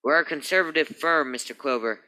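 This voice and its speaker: An actor playing a formal, guarded lawyer in an old-time radio crime drama, with a fussy, dismissive manner. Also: male voice, fairly high pitch, brisk, bright, forceful tone, clean tone, poor audio. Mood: evasive, haughty. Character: stiff, proper male attorney, guarded and formal.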